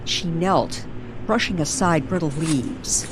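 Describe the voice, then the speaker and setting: A woman narrating an eerie scripted story in an old-time radio style, with deliberate, suspenseful delivery. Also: low voice, lively intonation, noisy background.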